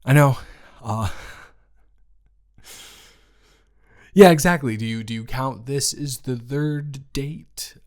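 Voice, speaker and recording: A man playing a smitten, playfully dramatic boyfriend in an improvised romantic audio roleplay, teasing and repeating his words haltingly. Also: mid-range voice, darkish tone, lightly acted. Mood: soft, teasing, reassuring.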